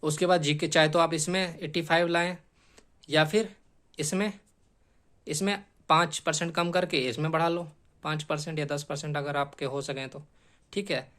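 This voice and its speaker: A man gives an explanatory coaching lecture. He repeats key points for emphasis and often adds a quick tag question to check that students follow. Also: fairly high pitch, fairly even intonation, fairly bright tone. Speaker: exam coaching teacher. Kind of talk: explanatory exam-strategy lecture.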